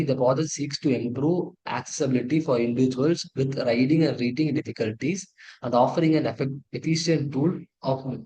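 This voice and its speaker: A man with an Indian accent presenting a technical project walkthrough in a halting, repetitive way, often correcting himself. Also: mid-range voice, warm tone, poor audio. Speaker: presenter of an engineering project demo. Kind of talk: tutorial walkthrough, partly read from slides.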